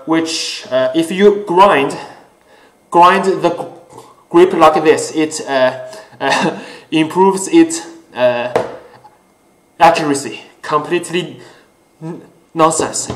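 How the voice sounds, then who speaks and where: A man whose first language is Chinese hosts his own talk show, speaking earnestly with many pauses and fillers. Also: on the high side. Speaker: host. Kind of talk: casual solo talk show. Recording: echoey room.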